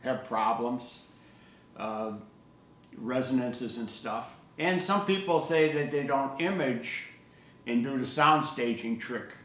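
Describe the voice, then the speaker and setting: A man's voice: mid-range voice, takes it slowly, a bit bright. Older man chatting in an echoey room. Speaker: co-host of an audio hobby show. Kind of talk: casual hobby discussion. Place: living room table indoors.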